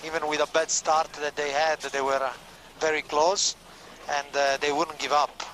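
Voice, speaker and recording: A man, speaking haltingly in a post-race interview. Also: a little high, little pitch movement. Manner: halting, many fillers. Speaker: sailing team member in interview. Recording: noisy outdoor broadcast clip.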